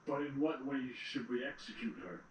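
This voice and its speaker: A man's voice: medium pitch, expressive, normal speed, natural voice. A man playing a loyal military general to an evil queen in an audio drama, formal and deferential. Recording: roomy, distant playback with faint noise.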